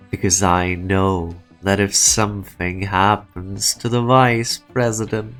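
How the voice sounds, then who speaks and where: A man voicing a calculating, sardonic and menacing executive in a game fan dub, speaking deliberately. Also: sits low, a bit bright, full character voice. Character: calculating male corporate executive, sardonic, menacing, pressing for truth. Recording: music behind the voice.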